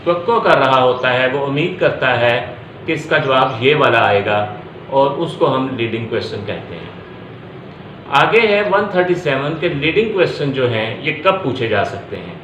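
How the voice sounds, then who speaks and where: A man's voice: mid-range voice, fairly bright tone. A man with a Pakistani accent giving an explanatory law lecture, restating each point step by step and mixing in English terms. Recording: echoey room, faint background noise.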